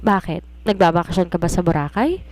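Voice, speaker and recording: A woman's voice: fairly low pitch, brisk, a little mellow, no room sound, close to an everyday voice. A woman storyteller voicing a chatty, fretful and inquisitive young female secretary in an eager, complaining manner. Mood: fretful, curious.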